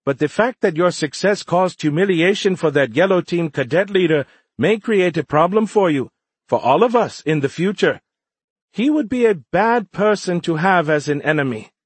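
A man's voice: high-pitched, animated, normal speed, breathy edge, dry recording, voice pushed up. A man voicing an earnest, formal young male alien liaison who is easily puzzled, in an audiobook. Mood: earnest, concerned. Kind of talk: audiobook character voice.

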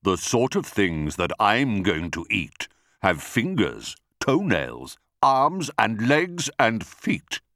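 Man voicing a slow, gloating crocodile villain. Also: fairly low pitch, sing-song, a bit bright, no room sound, clean studio sound, full character voice. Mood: gloating, menacing. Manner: slow, drawn-out, gloating delivery. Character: enormous sly, boastful crocodile villain hungry for children. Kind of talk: children's storybook character voice.

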